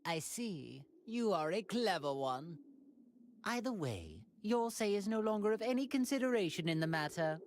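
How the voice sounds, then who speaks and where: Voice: medium pitch, lively intonation, normal speed, a little hiss or hum, close to an everyday voice. An actor voicing a cold, haughty alien tyrant in an anime video game, with formal, condescending and politely menacing phrasing. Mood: cold threat.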